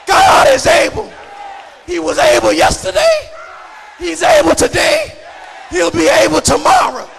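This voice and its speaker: A man's voice: extremely high pitch, sing-song, measured pace, vocal fry, pauses often, fairly bright tone, shouting, a faint crowd. A man preaching a fervent sermon in an emphatic, repetitive cadence that leans on repeated phrases. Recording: echoey hall reverb with congregation noise.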